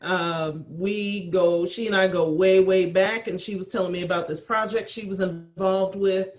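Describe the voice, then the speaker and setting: Woman, medium pitch, casual cast-member chat, strongly roomy video-call audio. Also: steady tone, normal speed. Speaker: voice actor and sound engineer. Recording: echoey room on video call.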